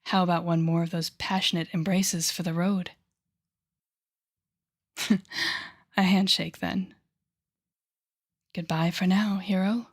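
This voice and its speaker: A woman performs a telepathic villainess for an audio roleplay. Her voice sits a little low for a woman. She is haughty and sardonic, teasing the listener with sighs and deliberate pauses, a softer heart hidden under the scorn. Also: flat delivery. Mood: smug, flirtatious. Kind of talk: intimate audio roleplay acting addressed to the listener.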